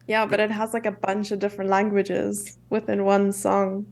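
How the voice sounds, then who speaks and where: A woman's voice: medium pitch, completely flat delivery, vocal fry, darkish tone. A young South African woman with a South African accent, chatting casually while co-commentating a chess broadcast. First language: English. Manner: chatty, self-deprecating, quick asides.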